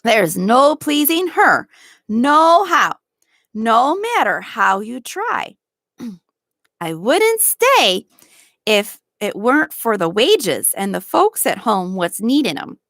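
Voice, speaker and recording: A woman voicing a sharp-tongued, indignant servant woman in a storybook, with a snappy, scornful rustic dialect. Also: on the high side, very animated, slow pace, no room sound, studio quality, pitched above the performer's own voice, heavily acted. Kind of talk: storybook read-aloud.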